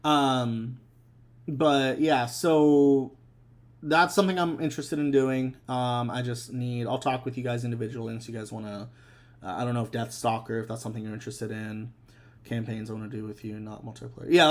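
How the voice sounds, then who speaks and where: A man's voice: medium pitch, fairly even intonation, a little fast. A young adult man hosting a casual live stream, rambling with many fillers and restarts. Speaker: streamer and host.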